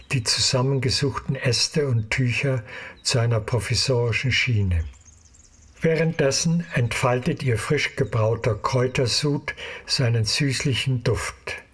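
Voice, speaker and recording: A man narrating an audio drama in a measured storytelling manner. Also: mid-range voice, breathy edge.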